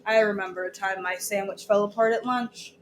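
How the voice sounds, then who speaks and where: A woman teacher reading a children's story aloud, deliberately, pausing to put questions to her listeners. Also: medium pitch, steady tone. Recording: echoey room with background noise.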